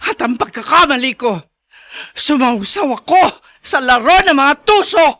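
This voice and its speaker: A woman's voice: high voice, lively intonation, normal speed, sharp tone, no echo. An actress playing an aggrieved, fiery woman in a Filipino radio drama, speaking in an emphatic, accusing way. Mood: angry, bitter. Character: aggrieved, fiery woman lashing out at dirty politics.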